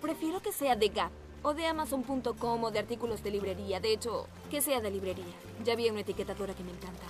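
An actress dubbing a sarcastic, quick-witted teenage girl with a dry delivery. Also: medium pitch, music in the background, lightly acted. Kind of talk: Spanish film dub.

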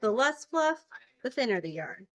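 A woman's voice: on the high side, very animated, moderate pace. A woman in her thirties giving an informal hands-on demonstration, explaining things simply, recorded indoors on a camera a short distance away. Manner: explanatory, uses simple everyday comparisons. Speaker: fiber spinning demonstrator. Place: indoor farm shop with concrete floor. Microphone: handheld camera a short distance away.

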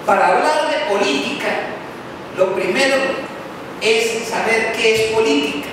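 A man's voice: very high voice, a little slow. A middle-aged man with a Colombian accent, giving a university lecture into a handheld microphone, telling anecdotes with humorous asides. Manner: animated storytelling with humorous asides. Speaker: lecturer presenting his book. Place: large lecture hall, indoors. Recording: echoey hall from far off with noise.

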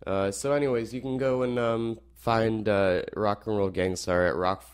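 Hoarse teen boy radio host. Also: fairly low pitch, fairly even intonation, normal speed. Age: teens. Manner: rambling with many fillers. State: slightly hoarse. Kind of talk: casual show wrap-up.

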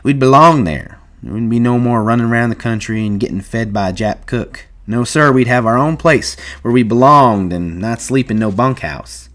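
A man's voice: medium pitch, moderate pace, hardly any pauses, fairly bright tone, voice pushed up, lightly acted. A man voicing a shrewd, folksy ranch hand with plain rustic American speech in an audiobook story. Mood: warm, dreamy.

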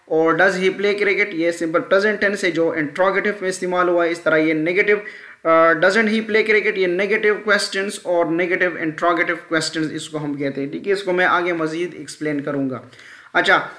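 A man's voice: high-pitched, pushed and bright. A man teaching a grammar lesson in an explanatory style, repeating key terms and often checking in with the listener. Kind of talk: explanatory screen-recorded lesson. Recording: echoey room sound.

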